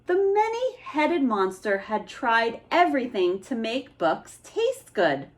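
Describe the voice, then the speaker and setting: A woman reading a children's picture book aloud as an expressive bedtime story. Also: on the high side, takes it slowly.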